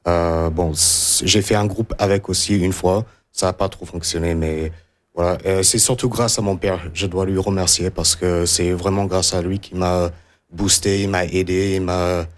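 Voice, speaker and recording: Young man from the US, low voice, guest drummer, halting and unsure delivery. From United States. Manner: hesitant, many fillers and restarts. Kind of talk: radio interview.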